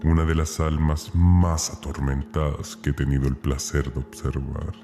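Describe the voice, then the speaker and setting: An actor playing a sinister collector who hosts a horror audio drama, savoring suffering in an ominous, formal, theatrical manner. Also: male voice, very deep voice, flat delivery, moderate pace, over music. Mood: ominous relish. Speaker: narrator host.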